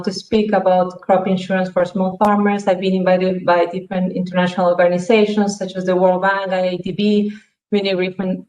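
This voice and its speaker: A woman's voice: mid-range voice, muffled recording. A middle-aged woman introducing herself on a video call, listing her work history with frequent hesitations. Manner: lists credentials with frequent hesitations. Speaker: panelist, crop insurance manager. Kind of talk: self-introduction on an online panel. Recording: echoey room.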